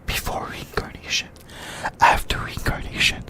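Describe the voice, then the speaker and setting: An ASMR host delivering an explainer in a breathy, unvoiced whisper, with halting, deliberate phrasing in short bursts. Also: bright, forceful tone. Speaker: host of an anime discussion video.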